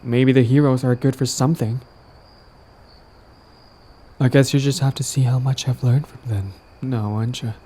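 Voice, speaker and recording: A man's voice: mid-range voice, steady tone, moderate pace, long pauses, dark tone, slightly rough, natural voice. A man playing a lovestruck, persistent villain in an intimate romantic audio roleplay, talking casually and coaxingly to the listener, smug yet earnest. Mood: hopeful, flirtatious.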